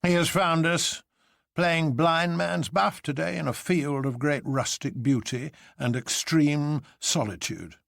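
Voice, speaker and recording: A man playing a fierce, bitterly sardonic police inspector in a story, declaiming emphatically in a gravelly voice. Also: a little high, takes it slowly, a bit bright, no echo, studio quality, pitched above the performer's own voice, heavily acted. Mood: grimly serene.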